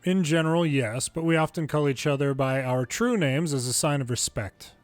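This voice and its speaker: Slightly high-voiced man reading game dialogue. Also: normal speed, no echo, soft background music. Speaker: longplay video maker reading game dialogue. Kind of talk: expressive reading of game script.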